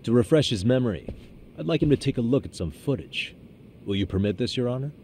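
A man's voice: medium pitch, expressive, a little mellow, a little hiss or hum, lightly acted. An actor playing a composed, persistent defense lawyer in his thirties, speaking formally in a courtroom drama game. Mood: composed, firm. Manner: measured, formal, insistent.

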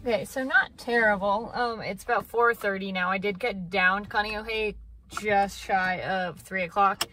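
A woman's voice: medium pitch, steady tone, unhurried, pushed and bright, slight background noise, poor audio. Chatty woman vlogging from her car. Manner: chatty, rambling with lots of fillers. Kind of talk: casual vlog chat. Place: parked car. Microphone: phone camera at arm's length.

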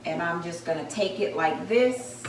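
A woman's voice: fairly low pitch, lively intonation, moderate pace, echoey room, a little hiss or hum. A woman in her thirties chatting to viewers as she shows her cooking steps in a home kitchen, with the camera set on the counter. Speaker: home baker, channel host. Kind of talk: casual cooking demonstration. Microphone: camera on the counter, at arm's length.

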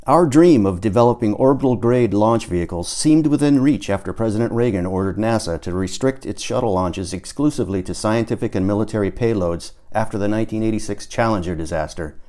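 Low-pitched man reading his book aloud. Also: brisk, few pauses, mellow. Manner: acts out dialogue with emphatic swearing and outbursts. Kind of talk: audiobook narration, theatrical.

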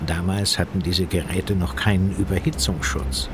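An actor playing a self-assured, pedantic fire investigator in a radio play, explaining things in a precise, lecturing, technical way. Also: male voice, low-pitched, fairly even intonation, moderate pace, over music. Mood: matter-of-fact, instructive. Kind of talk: German audio drama acting.

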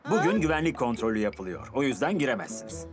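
Low, lively cartoon patrol car. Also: female voice, quick pace. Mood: firm, warning. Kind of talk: Turkish-dubbed children's cartoon acting. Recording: background music under dialogue.

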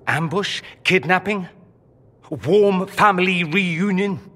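A man's voice: high voice, slow, bright, forceful tone, exaggerated voice. An actor playing a proud, wounded nobleman mage in a video game, articulate and sarcastic in a theatrical way. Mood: biting sarcasm. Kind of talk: dramatic video game acting. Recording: long reverb, music behind.

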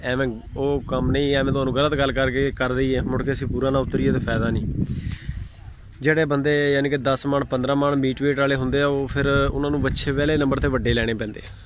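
A man with a Pakistani Punjabi accent walks through his cattle pens, talking in a plain, easygoing way with frequent religious phrases. His voice sits in a middle register. It is recorded outdoors on a handheld phone, with clear background noise. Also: medium pitch, little pitch movement, fast talker. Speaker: cattle farm owner. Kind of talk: casual farm tour vlog.

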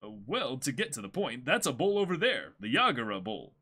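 A man's voice: mid-range voice, big pitch swings, a little fast, sharp tone, breathy edge, voice pushed down, full character voice. A man voicing a helpful, matter-of-fact shopkeeper from a comic, explaining things plainly to customers. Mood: explanatory.

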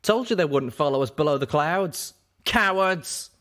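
A man's voice: on the high side, animated, normal speed, a bit bright, voice pushed up. A cocky, folksy pegasus stallion, as a male reader puts him on, brash and barking. The voice sits raised above the reader's own in a storybook telling.

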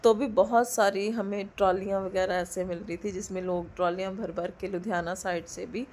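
A woman in her thirties with a hoarse throat from a cold, chatting loosely in a casual vlog voice-over from home and mixing in English words. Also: mid-range voice, steady tone, moderate pace, a little mellow, slight background noise. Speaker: vlogger. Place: indoors at home, recording the voice-over. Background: a dog barking now and then.

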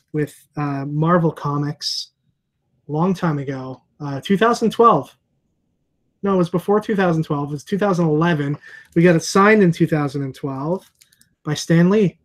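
Comic shop owner, forties, easygoing call host, earphone mic, lots of "uh"s. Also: male voice, fairly high pitch. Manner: relaxed, chatty, many fillers. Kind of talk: casual video call chat. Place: room with comic books on shelves. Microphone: earphone headset on video call.

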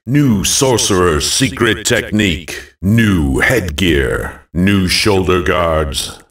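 An actor playing a commanding fantasy video game announcer, delivering short, emphatic announcements in a deliberate way. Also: male voice, low-pitched. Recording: echoey, distant-sounding reverberant voice.

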